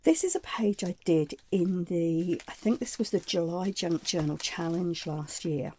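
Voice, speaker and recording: A woman hosting a casual craft tutorial, chatty and meandering, her voice croaky from a chest cold. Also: fairly low pitch, unhurried, mellow, a little hiss or hum. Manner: chatty and meandering, with frequent ums and asides. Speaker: craft channel host.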